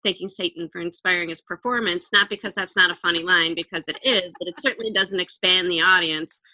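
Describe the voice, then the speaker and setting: Wry, low-pitched woman co-host. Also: sharp tone, no echo, low-quality recording. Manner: measured, wry, hesitant restarts. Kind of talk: casual commentary chat.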